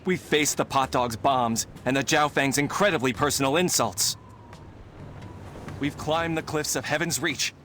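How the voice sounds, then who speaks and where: A man's voice: medium pitch, unhurried, a bit bright, a little hiss or hum, close to an everyday voice. A man voicing a devoted, overeager young martial arts disciple in a video game, earnest and grandiose. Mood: reverent, proud. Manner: grandiose, theatrical, fawning. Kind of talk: video game character acting.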